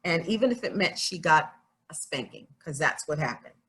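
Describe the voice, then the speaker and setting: A woman's voice: sits low, animated, moderate pace, fairly bright tone. A middle-aged woman reading a children's book aloud as a story-time host, expressively and with informal explanatory asides.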